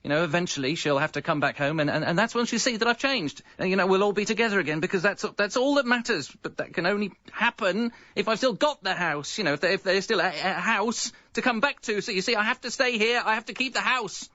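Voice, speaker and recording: An actor playing a hapless, self-pitying separated dad in a radio comedy, rueful and wry as if talking to himself. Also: male voice, sits high, fast, few pauses, bright, forceful tone, dry recording, dull audio. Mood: desperate pleading. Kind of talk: radio comedy monologue and dialogue.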